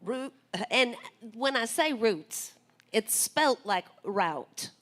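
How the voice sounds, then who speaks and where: A woman's voice: a little high, lively intonation, drawn-out words, fairly bright tone. A woman hosting a live radio interview. She talks in a hesitant way, searching for words with many ums. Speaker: radio host.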